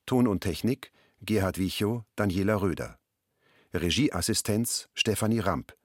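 A man reading radio drama credits as a formal announcer, item by item in a measured way. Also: fairly low pitch, lively intonation, dry recording, clean studio sound.

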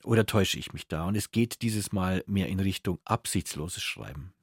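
Male radio interviewer, lowish voice, conversational and slightly halting, very dry close studio sound. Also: expressive, slightly rough, no echo.

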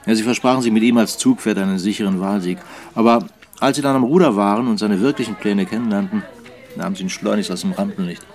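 An actor playing a wry, well-informed journalist friend in a German radio drama, conversational and musing. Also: male voice, on the low side, fast talker, darkish tone, close to an everyday voice. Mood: matter-of-fact.

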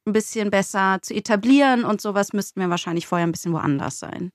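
A woman's voice: medium pitch, fast, dry recording. German woman brainstorming, dry close sound. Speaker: co-host and aspiring radio play writer. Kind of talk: casual brainstorming chat.

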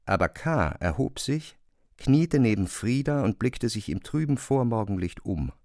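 A man giving a dramatic literary narration for an audio drama, expressive and precise in his diction. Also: mid-range voice, measured pace, dry recording, clean studio sound.

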